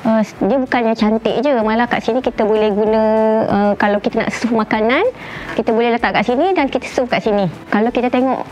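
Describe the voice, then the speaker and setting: Malaysian woman, higher-pitched, showing her home. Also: a little mellow. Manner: chatty and hesitant, pausing often with "ah" and searching for words. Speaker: homeowner giving a house tour. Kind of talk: casual guided home tour to camera. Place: indoors, rooms of a furnished house. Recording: slightly dull sound with faint background noise.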